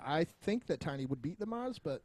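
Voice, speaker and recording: A man's voice: a little high, sing-song, a little fast, darkish tone, no echo. A man co-hosting a podcast, trading casual, bawdy comic banter with his friends. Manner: bawdy joking. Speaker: podcast co-host.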